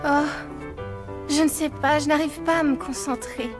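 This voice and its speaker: Young cartoon heroine: earnest anxious little girl, high pitch, underscored. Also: fairly even intonation, unhurried. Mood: discouraged. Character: sweet, earnest, worried little girl dancer. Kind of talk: dubbed cartoon acting. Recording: music behind the voice.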